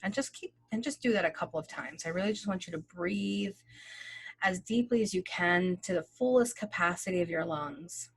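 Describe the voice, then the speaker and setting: A woman leading a guided breathing meditation, speaking slowly and gently with long pauses and casual fillers. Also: on the low side, vocal fry, husky. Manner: slow, gentle, soothing instructions with long pauses. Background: soft background music.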